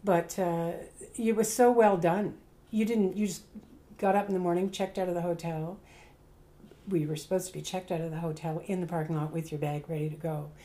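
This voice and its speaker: An older Canadian woman, a veteran stage actor, casually telling rambling, animated stories in an interview and often breaking off to correct herself. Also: fairly low pitch, a little fast. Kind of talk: casual reminiscing, storytelling.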